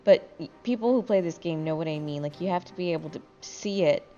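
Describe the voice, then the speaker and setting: A sleepy woman gamer with a lowish voice rambles casually to her friends. Her speech is informal and sweary, on a slightly dull recording with faint music behind it. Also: a little fast, warm tone. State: very tired. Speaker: gaming video host and player. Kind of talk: casual gaming chat with friends.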